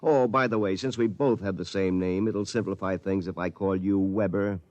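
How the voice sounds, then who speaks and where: A man's voice: sits low, steady tone, moderate pace, darkish tone, no room sound, highs cut off, lightly acted. An American actor playing a brash, cocky artificial duplicate of a meek man in a 1950s radio drama, breezy and taunting.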